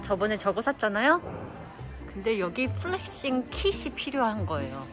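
A woman's voice: mid-range voice, sing-song, normal speed, loud music behind the voice. A woman in her thirties chatting casually to a handheld phone camera, exclaiming and sighing as she talks. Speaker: vlogger. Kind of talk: casual vlog chat. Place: car interior and shops. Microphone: phone camera at arm's length.

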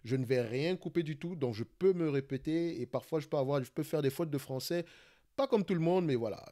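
A man with a Cameroonian accent talks fast through a live screen-recorded stock analysis. He often searches for words and restarts his sentences. He keeps checking in with his listeners as he goes. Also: fairly high pitch, mellow. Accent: Cameroonian French. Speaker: investing channel host.

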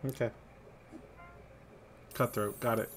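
A man's voice: medium pitch, fairly even intonation, slow pace, background noise. A man in his thirties chatting casually and joking dryly while playing a board video game with a headset mic. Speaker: streamer. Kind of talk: casual gaming chat. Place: home room, headset. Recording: game music underneath.

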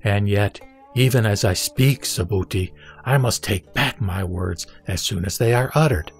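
A man's voice: on the low side, measured pace, faint music behind. Solemn man acting as a spiritual teacher. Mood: solemn, instructive. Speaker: scripture reader. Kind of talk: scripted audio drama recitation.

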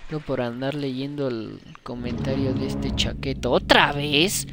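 A man's voice: mid-range voice, measured pace, noisy background. A young man with a Mexican accent commenting casually on a game he plays, swearing and joking at his character. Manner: crude, swearing, jokey, talks to the character. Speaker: gamer. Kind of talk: casual let's play commentary.

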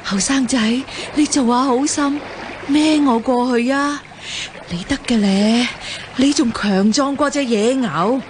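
A woman's voice: high voice, unhurried, a little hiss or hum. An actress in a radio drama playing an imperious, insistent goddess who poses as an old beggar woman. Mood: demanding, pleading. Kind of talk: Cantonese radio drama acting.

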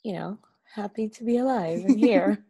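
A woman's voice: medium pitch, normal speed, dark tone, breathy edge. A woman in her thirties from Nigeria, a guest on a video call from her living room, telling her story openly in a chatty way with small laughs. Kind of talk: casual video-call interview. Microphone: laptop webcam on a video call.

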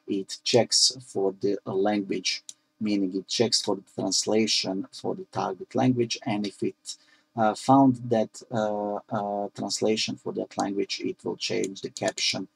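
Gravelly low man, halting tutorial explainer. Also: rough recording. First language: Croatian. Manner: halting, frequent "uh" fillers, explanatory. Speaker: programming tutorial presenter. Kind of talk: screen-recorded coding tutorial.

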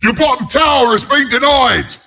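An actor voicing a mean, cocky porcupine beast as a video game announcer, with a British accent, a gravelly rasp and a rowdy, taunting, blunt delivery. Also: male voice, extremely high pitch, normal speed, sharp tone, raised voice, faint music behind, heavily acted. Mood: urgent, rowdy. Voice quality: gravelly, raspy. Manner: taunting, rowdy, blunt, mock-sympathetic asides.

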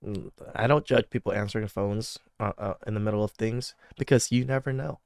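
A man's voice: fairly low pitch, brisk, creaky, darkish tone, smooth, no echo, studio quality. A man in his thirties hosting a podcast, reacting to clips with blunt, profane scolding. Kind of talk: casual reaction commentary. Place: home studio with purple lights.

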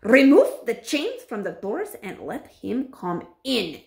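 A woman's voice: a little high, huge pitch swings, takes it slowly, vocal fry, heavily acted. A woman voicing a sinister, commanding old female witch leader with a grand, clipped manner. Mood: scornful hiss. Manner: grand, clipped, gloating villain voice. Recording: echoey room.

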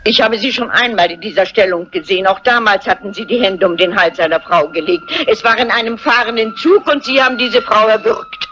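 An actress playing a shrewd, unflappable elderly lady amateur sleuth in a crime film, polite and matter-of-fact, with a husky voice. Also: medium pitch, very animated, fairly quick, hardly any pauses, a bit bright, slight background noise. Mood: accusing, composed. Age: older. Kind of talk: German dubbed crime film dialogue. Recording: dull, muffled old film soundtrack.

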